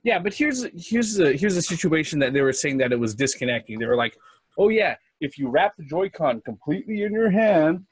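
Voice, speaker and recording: Husky-voiced man around forty. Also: fairly high pitch, big pitch swings, fairly quick, no room sound, rough recording. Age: 40s. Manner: explains patiently, corrects details. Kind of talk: casual group chat.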